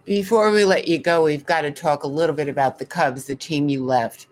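A woman's voice: sits low, a little fast. A woman reporter hosting a conversational sports interview, direct and opinionated in how she talks.

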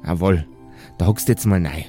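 A man's voice: deep voice, moderate pace, warm tone, faint music behind, voice pushed down, natural voice. A man with a Bavarian accent voicing an inventive, bossy mother who instructs her grown sons in an improvised fantasy audio game. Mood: proudly instructing. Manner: instructing, exasperated, rustic.

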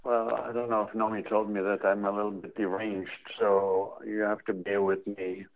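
An older Israeli-accented man speaks in a slow, soothing, reflective way, with touches of dry humor. His voice has a gravelly edge. It comes through a thin, phone-like line from his workroom at home. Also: on the low side, little pitch movement. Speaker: psychology professor, guest. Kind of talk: reflective interview answers. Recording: tinny phone-line audio.

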